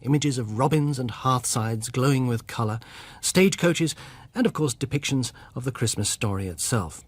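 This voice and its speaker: A man's voice: mid-range voice, lively intonation, moderate pace, slightly rough, no room sound. A man presenting scripted narration in a conversational storytelling way, speaking directly to the listener.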